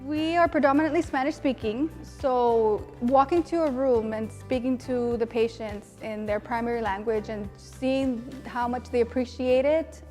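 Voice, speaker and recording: Young woman with a fairly high voice. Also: a little mellow. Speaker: nurse. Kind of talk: interview testimony.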